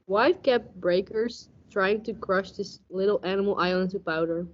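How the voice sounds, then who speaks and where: A young woman playing a cynical, hardboiled police lieutenant with deadpan, tough-talking noir narration in a radio play parody. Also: mid-range voice, moderate pace, natural voice. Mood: brooding, deadpan. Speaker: student radio play actor. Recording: dull, muffled lo-fi recording.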